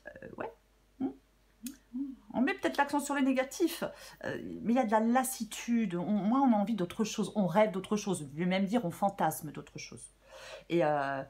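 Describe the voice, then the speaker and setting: Slightly hoarse French woman reading tarot. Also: mid-range voice, a little mellow. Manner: halting, thinking aloud with many fillers and restarts. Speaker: tarot reader. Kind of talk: casual tarot reading to viewers.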